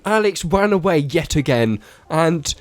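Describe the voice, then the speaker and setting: A man's voice: on the high side, animated, unhurried, no room sound. A young man co-hosting a podcast, sounding bunged up from a cold, joking and teasing his friends. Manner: jokey, teasing, calls others "bro". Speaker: podcast co-host. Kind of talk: casual podcast chat.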